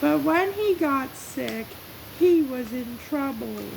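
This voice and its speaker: Female racing driver as storybook reader, put on by a performer: slow, high, deliberate, noisy hissy recording. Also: lively intonation, gravelly, exaggerated voice. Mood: presenting, measured. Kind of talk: scripted story narration with self-introduction.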